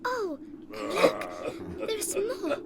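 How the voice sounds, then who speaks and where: A woman's voice: very high-pitched, huge pitch swings, slow, heavy background noise, full character voice. An actress playing a polite, curious little girl in a children's audio drama, questioning earnestly and easily alarmed. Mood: hushed awe. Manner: questioning, earnest, wide-eyed.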